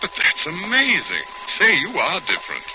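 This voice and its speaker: A suave, self-assured gentleman detective, with a lively, swooping middle-pitched voice in 1940s drama style. The vintage recording is muffled and noisy. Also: normal speed. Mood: surprised. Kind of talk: 1940s radio drama acting.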